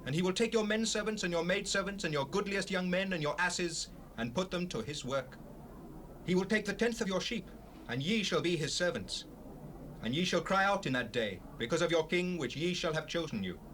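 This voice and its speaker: An actor playing a solemn old prophet in a dramatized scripture reading, declaiming in formal archaic speech. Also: male voice, high voice, expressive, brisk, a bit bright. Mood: stern warning. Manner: declamatory, emphatic. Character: Samuel, solemn old prophet warning his people. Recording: hissy 1970s cassette rip.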